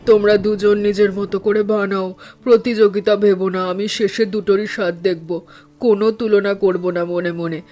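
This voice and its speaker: A man voicing a calm, wise elderly mother-in-law in a cartoon, speaking in an even, advising tone. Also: high voice, brisk, lightly acted. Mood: gentle, advising. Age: older. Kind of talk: cartoon voice acting.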